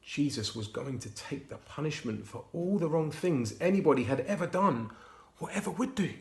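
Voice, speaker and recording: A man reading a Bible storybook aloud to children, expressive and often hushed. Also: on the high side, normal speed. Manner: hushed, expressive, dropping to whispers. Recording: roomy echo.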